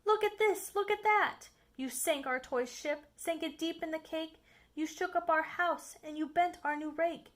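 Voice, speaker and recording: A woman's voice: very high-pitched, unhurried, voice pushed up. A woman voicing a fussy, alarmed male pet fish in a children's storybook, scolding in an indignant, bossy way. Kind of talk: storybook read-aloud.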